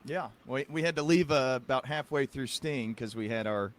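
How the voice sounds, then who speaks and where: A man's voice: medium pitch, moderate pace. An American man hosting a podcast in a casual, conversational way. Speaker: podcast host, psychiatric practitioner.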